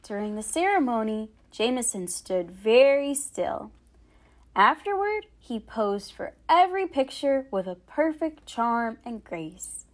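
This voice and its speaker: A woman storyteller reading a picture book aloud to children, with expressive, dramatic phrasing and careful enunciation. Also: fairly high pitch, a little slow.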